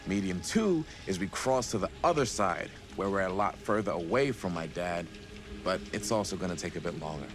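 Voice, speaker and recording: Easygoing low-voiced young man. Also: lively intonation, moderate pace, background noise. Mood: matter-of-fact. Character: easygoing young man guiding friends, policeman's son. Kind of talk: naturalistic horror game dialogue. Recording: loud music behind the voice.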